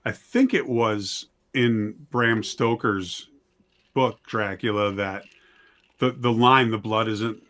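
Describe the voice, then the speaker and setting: A man talking in a casual discussion, conversational and halting, telling things as anecdotes. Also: lowish voice, fairly even intonation, a bit bright.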